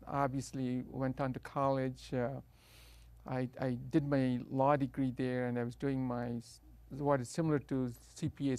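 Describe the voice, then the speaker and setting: A middle-aged man from South India with a South Indian accent, telling his life story as an interview guest, pausing often on fillers and restarts. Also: mid-range voice, darkish tone, clean tone, no echo. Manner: hesitant, many uh fillers and restarts.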